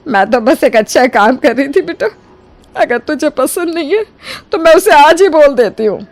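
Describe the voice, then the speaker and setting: A woman voicing a trusting, soft-hearted older mother-in-law in a cartoon moral story, with an earnest, expressive delivery. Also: sits high, normal speed. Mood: tearful, hurt. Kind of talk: animated Hindi moral story dialogue. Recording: music behind the voice. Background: music.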